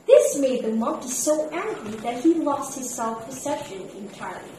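Expressive high-pitched woman narrator, very echoey. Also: moderate pace, a crowd in the background. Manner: expressive read-aloud storytelling. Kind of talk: scripted narration of a children's fable. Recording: echoey room, distant mic, background noise.

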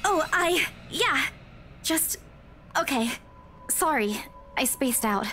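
A woman's voice: high voice, lively intonation, slow pace, pushed and bright, slight background noise, heavily acted. An actress voicing a timid, anxious young woman in a visual novel game, hesitant and apologetic.

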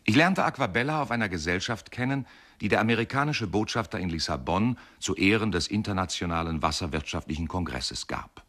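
An actor narrating a radio play as a wry, courteous older husband, measured and dryly ironic. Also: male voice, low voice, expressive, fairly bright tone, full character voice. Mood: wry, reminiscing. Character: wry, courteous older husband reminiscing about his marriage. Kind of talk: radio play monologue, first-person narration.

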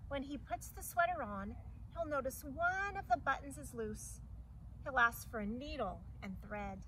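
Female teacher, middle-aged, slightly high voice, animated storybook read-aloud for young children, some background noise outdoors. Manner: animated, deliberate, instructive. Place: outdoors on a lawn by a hedge. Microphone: camera a few metres away. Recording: distant mic, outdoor ambient noise.

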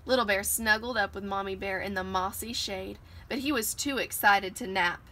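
A woman reads a children's book aloud in an expressive storytelling style. Her voice is bright and mid-pitched. It is a home recording made outside, with steady ambient noise. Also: moderate pace. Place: outdoors on a porch swing. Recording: noisy outdoor home recording.

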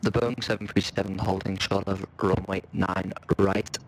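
A man's voice: deep voice, little pitch movement, moderate pace. A man making improvised air traffic controller calls in game voice chat, hesitant and subdued. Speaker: fellow player acting as air traffic controller. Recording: game voice chat with faint noise.

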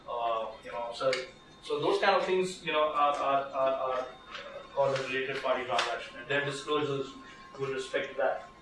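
A man in his thirties with an Indian English accent, giving a conversational legal workshop talk with many restarts and fillers. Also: mid-range voice. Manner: conversational, lots of restarts and fillers, gestures while standing. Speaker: workshop speaker, lawyer. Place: small seminar room with projector. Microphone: far camera, no mic. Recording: echoey room from far off, background noise.

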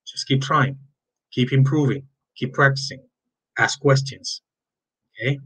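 Husky Cuban-accented male teacher. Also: medium pitch, measured pace, fairly bright tone, rough recording. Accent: Cuban Spanish. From Cuba. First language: Spanish. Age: 50s. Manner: friendly, chatty, talks directly to students. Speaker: Spanish teacher. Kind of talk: recorded class introduction over slides.

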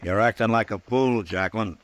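A stern frontier marshal, played by a male radio actor with a deep American voice. He is measured and spare with words, firm and steady in the manner of a vintage radio western. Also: natural voice. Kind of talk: mid-century American radio western acting.

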